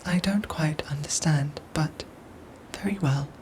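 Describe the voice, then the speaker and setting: Actress as caring robot soldier: hushed, breathy whisper, formal measured diction, comforting ASMR audio drama, with noticeable ambient noise behind. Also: female voice, low voice, rough recording. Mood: puzzled, reassuring. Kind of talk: whispered ASMR roleplay acting.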